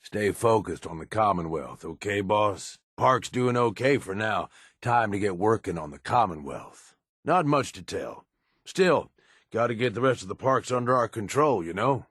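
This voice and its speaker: An actor playing a gruff, scheming male raider lieutenant in a video game, with a crude, sardonic tough-guy drawl. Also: on the low side, expressive, unhurried, a bit bright, dry recording, clean studio sound, exaggerated voice. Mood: companion talk. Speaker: companion character. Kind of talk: video game combat and companion barks.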